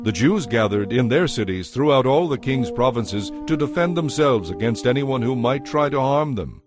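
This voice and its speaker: A man narrating a dramatized audio Bible in a measured, formal storytelling manner. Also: mid-range voice, animated, music in the background.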